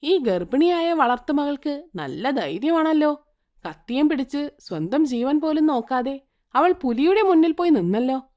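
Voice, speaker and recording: A woman's voice: high voice, sing-song, normal speed, darkish tone. A woman voicing a cruel, scheming middle-aged stepmother in a cartoon, speaking in a scornful, goading way.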